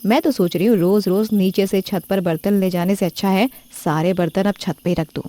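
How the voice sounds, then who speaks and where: Stubborn young daughter-in-law, defiant and touchy, voiced by a woman; raised pitch, dry close sound. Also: fairly even intonation, fairly quick, warm tone, dry recording, close to an everyday voice. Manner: defiant, indignant. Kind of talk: cartoon story voice acting.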